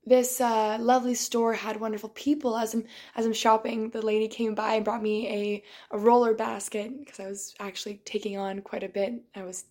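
A young woman with a flat, level pitch talks in a casual, thoughtful way. Her voice sounds tired and strained from shouting. She stops and restarts often, clearing her throat between thoughts. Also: moderate pace, few pauses. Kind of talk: casual reflective monologue.

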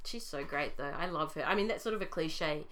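A woman with a New Zealand accent and a croaky voice, co-hosting a podcast and telling a story in an animated, laughing way. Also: low voice, brisk, over a noisy crowd. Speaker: podcast co-host. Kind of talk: casual chat.

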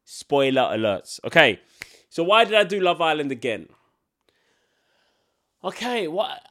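A man's voice: a little high, very animated, a little slow, a bit bright. A young man in his twenties hosts his own podcast alone, his voice stuffy and nasal from a heavy cold. He talks casually and loosely, circling his points with a lot of filler words. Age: 20s. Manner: casual, rambling, many filler likes. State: congested from a cold. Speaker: podcast host. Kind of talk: solo podcast chat.

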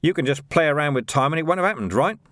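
A man's voice: on the high side, animated, quick pace, fairly bright tone, no echo, clean studio sound, pitched above the performer's own voice. A man voicing an irritable, weary watch commander in an audiobook, blunt and exasperated. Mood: bitter. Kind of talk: audiobook character voice.

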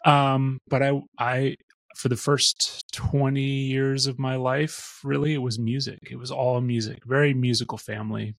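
A man in his forties with a gravelly edge to his voice, guesting on a podcast. He rambles and jokes at his own expense, recorded dry and close. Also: mid-range voice, flat delivery, a little slow, no echo. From born in Austria, raised in Missouri. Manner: rambling, self-deprecating, laughs often. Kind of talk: casual interview chat.

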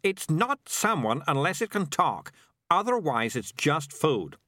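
Man doing a smug, wry talking cat, lively swooping delivery. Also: medium pitch, moderate pace, no echo, studio quality. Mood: indignant, wry. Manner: self-justifying, dryly wry.

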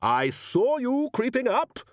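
A man voicing a hearty, blustering old wizard in a storybook reading, with a booming, interrogating manner. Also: big pitch swings, a little slow, dry recording. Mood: angry, roaring.

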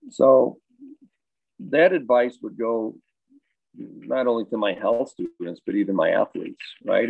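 A man with a low, gravelly voice, talking like a high school baseball coach who circles his points with fillers and restarts. The sound is thin and phone-like, and the room is dry with no echo. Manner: thoughtful, rambling with many fillers and restarts. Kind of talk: casual interview answers. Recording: dry, tinny phone-like line.

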